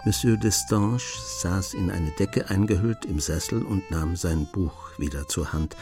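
A male narrator of a radio drama, telling the story in a measured way. His voice is fairly low and he takes his time, with faint music underneath.

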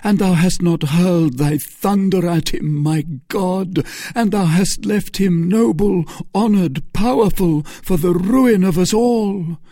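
A man voicing a fervent, excitable young Puritan officer who cries out in zeal, in an audiobook reading. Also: high-pitched, slow pace, no echo, studio quality, voice pushed up, full character voice. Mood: righteous fury. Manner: fervent, exclamatory.

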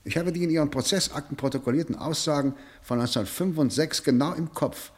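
An actor playing a stern senior prosecutor who questions formally and insistently in a 1960s German radio play. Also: male voice, on the high side, fast talker, close to an everyday voice. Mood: searching. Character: stern, probing senior prosecutor interrogating a prisoner. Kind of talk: German radio play acting, around 1960.

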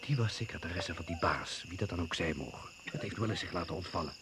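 A man's voice: sits low, quick pace, close to an everyday voice. An actor playing a sceptical, worldly British secret service man in a Dutch radio drama, giving a terse, confiding briefing. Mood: sceptical, puzzled. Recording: noisy old radio play recording.

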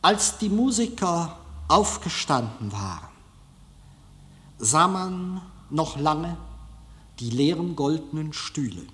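Slow, declamatory man in a reverberant hall. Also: fairly high pitch, big pitch swings, a bit bright. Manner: emphatic, deliberate phrasing with rhetorical repetition. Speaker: filmmaker giving a lecture. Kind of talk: public speech, declamatory lecture. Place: large lecture hall. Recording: reverberant lecture hall recording.

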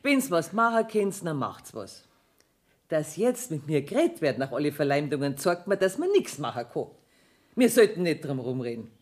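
A woman's voice: sits low, sing-song, normal speed, darkish tone. An actress playing a shrewd, domineering female banker in a radio play, speaking blunt, earthy Bavarian dialect. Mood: defiant.

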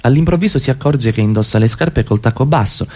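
A man's voice: mid-range voice, fairly quick, dark tone. A man reading scripted stage directions as the narrator of a radio play.

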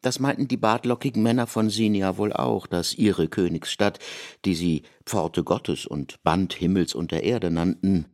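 A man reading literary prose as a narrator in a radio production, in a measured, formal storytelling manner. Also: sits low, a little mellow.

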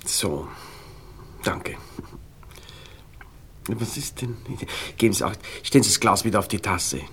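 An actor playing a nervous, well-off young gentleman with a Viennese accent, halting and distracted, in a radio play. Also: on the low side, expressive, a little hiss or hum. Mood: restless, commanding. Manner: halting, distracted, faintly bossy.

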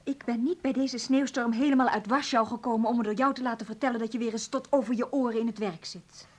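A woman's voice: a little high, fairly even intonation, fast, close to an everyday voice. An actress playing an insistent, frustrated woman in a radio play, urgent and reproachful with her partner. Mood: urgent, pleading. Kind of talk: Dutch radio play acting.

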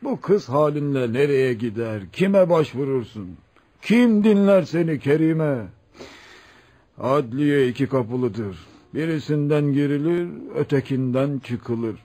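A man's voice: mid-range voice, expressive, slow pace, warm tone. An actor playing a weary, protective old village elder in his seventies, in a radio drama with an exclamatory, paternal delivery. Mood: paternal, discouraging. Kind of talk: radio theatre acting.

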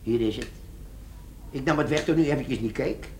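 An actor playing a cheeky, scheming older army sergeant in a comic radio play, with a gravelly, colloquial delivery. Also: male voice, mid-range voice, moderate pace, darkish tone, natural voice. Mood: flustered, bluffing. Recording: noisy old radio drama recording.

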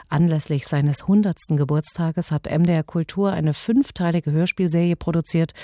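A woman's voice: deep voice, mellow, no echo, studio quality. A woman presenting on radio, reading a scripted introduction in a composed, articulate way. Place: dry broadcast studio.

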